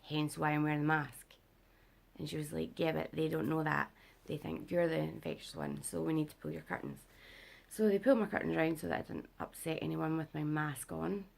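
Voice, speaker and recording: A young British woman giving a casual vlog update, her voice rough and worn because she is unwell and has not slept, rambling with lots of fillers. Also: fairly even intonation, a little fast. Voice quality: rough gravelly voice. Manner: rambling, filler-heavy, low-energy. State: unwell and sleep-deprived. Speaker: vlogger.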